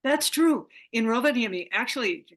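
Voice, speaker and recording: A woman's voice: fairly high pitch, expressive, normal speed, a bit bright. An older woman speaking on a video call from home as a guest presenter, chatty and rambling, often restarting her sentences.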